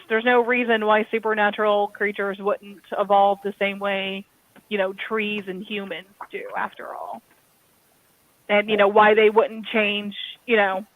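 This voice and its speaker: A woman's voice: medium pitch, phone-quality sound. A woman from Kentucky with an American accent, chatting and explaining casually as an author on a discussion panel. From Kentucky, USA.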